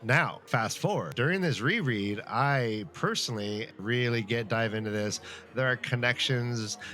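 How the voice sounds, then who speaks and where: A man's voice: mid-range voice, measured pace, bright, forceful tone. A man co-hosting a podcast, talking casually and wryly, repeating phrases for emphasis. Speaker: podcast co-host. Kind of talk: casual comedic banter and book discussion.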